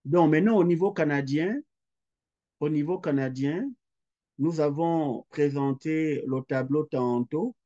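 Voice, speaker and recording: A man teaches a recorded lesson at an unhurried pace. His voice sits a little high but sounds mellow and soft-edged. He reads every number out slowly and says it again as he writes it down. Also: dull audio. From Quebec. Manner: slow, methodical, repeats figures aloud as he writes. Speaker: lecturer. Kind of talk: recorded tax lecture working through calculations.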